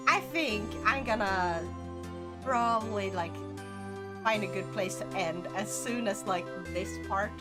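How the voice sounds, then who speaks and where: A woman's voice: medium pitch, a little slow. A young woman streaming a game, chatting casually and bubbly with her viewers on a desk microphone, with game music behind her. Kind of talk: casual live-stream chat.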